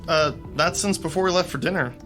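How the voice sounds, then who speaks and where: A man plays an easygoing young stagehand in a radio drama, casual and friendly, with a bright, sharp tone. Faint music runs beneath him. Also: on the high side, a little fast, lightly acted.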